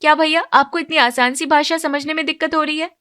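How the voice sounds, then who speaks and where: An eager young bride-to-be voiced by a woman storyteller in a cartoon family tale. She chatters cheerfully in a high, girlish pitch. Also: fairly even intonation, fast talker, pushed and bright. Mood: teasing. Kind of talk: Hindi moral-story cartoon character.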